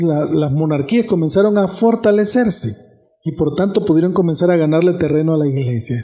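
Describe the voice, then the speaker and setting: A man's voice: sits high, mellow. A man pastor giving a conversational sermon on church history, often restarting phrases and checking in with listeners.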